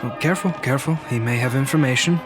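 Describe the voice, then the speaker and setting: Male actor as calm scholarly medic, analytical, precise, mid-pitched, radio drama, music underneath. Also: fast talker, natural voice. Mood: concerned. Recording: music bed behind voice.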